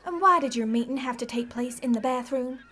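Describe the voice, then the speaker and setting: A woman's voice: sits high, brisk, pushed and bright. A woman playing a sardonic, exasperated host of a mock magazine show in an audio comedy, dry and sceptical.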